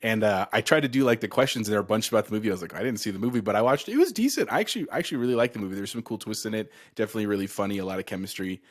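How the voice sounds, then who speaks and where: A man's voice: lowish voice, expressive, rapid-fire, hardly any pauses. A man co-hosting a casual gaming podcast, talking in a conversational, rambling way. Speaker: podcast co-host.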